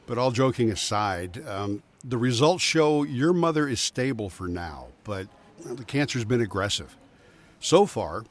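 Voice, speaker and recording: A man playing a frank, cautious doctor in an audio drama, conversational, measured and a little hesitant. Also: fairly low pitch. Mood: sober, hesitant. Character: frank, cautious male doctor breaking bad news.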